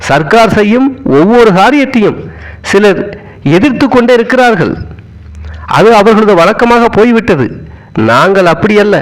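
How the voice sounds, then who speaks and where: A man reading a formal political speech aloud in a stately way, in short, measured phrases. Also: sits high, rough recording. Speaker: speech reader.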